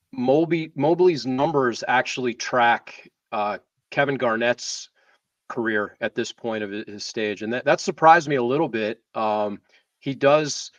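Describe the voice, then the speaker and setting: A man co-hosting a sports show, discussing players casually in a halting, deliberate way with repeated words. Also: medium pitch, no room sound. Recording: distant from mic, dull lo-fi sound.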